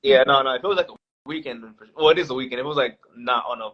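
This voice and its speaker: Guest, male, late 20s, rambling casual talker, mid-pitched voice, dull lo-fi audio. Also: rapid-fire. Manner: rambling, many fillers and restarts. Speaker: podcast guest. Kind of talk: casual podcast conversation. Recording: dull lo-fi sound.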